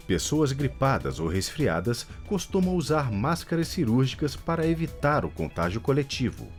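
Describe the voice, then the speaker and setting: Male voice-over, instructive tip reader, very animated pitch, faint music bed. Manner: instructive, lively delivery. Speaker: voice-over narrator. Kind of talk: scripted tips narration.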